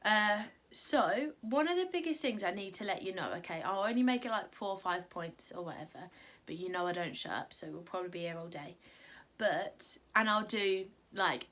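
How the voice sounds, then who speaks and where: A young woman vlogging at home with a croaky morning voice, chatty and rambling with lots of fillers, giving encouraging advice. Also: mid-range voice, normal speed, a bit bright. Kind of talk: casual talk to camera.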